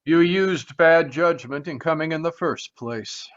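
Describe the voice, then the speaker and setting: An actor playing a stiff, indignant, pompous lawyer in an old-time radio mystery, on muffled audio. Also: male voice, a little high, lightly acted. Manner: pompous, deliberate. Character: stiff, indignant male attorney, protective and pompous. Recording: muffled, dull video-call audio.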